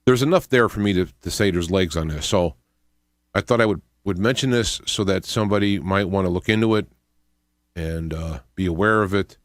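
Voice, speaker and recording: Low-voiced male radio host, musing. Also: dry recording, studio quality. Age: middle-aged to older. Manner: halting, musing, with repeated words. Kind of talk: unscripted radio monologue. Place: small indoor home studio. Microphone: close studio microphone with headphones.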